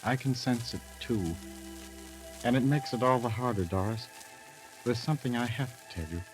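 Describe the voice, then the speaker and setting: An actor playing an ailing, troubled young man in love in a 1930s radio drama, speaking gravely and haltingly. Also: mid-range voice, natural voice, poor audio. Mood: sad, tender. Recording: vintage 1938 radio broadcast recording, music behind. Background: music.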